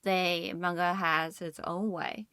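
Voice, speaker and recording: A woman's voice: on the low side, flat delivery, takes it slowly, pushed and bright, smooth, no echo, studio quality. A woman co-hosting a podcast, chatting casually and expressively. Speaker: podcast co-host.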